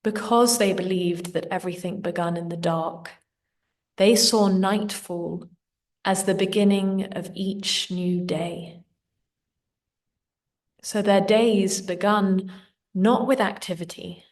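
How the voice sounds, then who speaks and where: A woman guides a meditation at a very slow, unhurried pace. Her delivery is gentle and soothing, with a medium pitch. The voice sits some way back from the microphone. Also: fairly even intonation, far from the mic.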